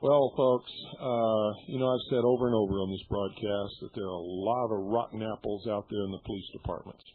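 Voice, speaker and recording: Gruff blunt man on tinny shortwave radio. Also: on the low side, fairly even intonation, a little fast, few pauses, no room sound. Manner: blunt, indignant, swears freely. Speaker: radio host.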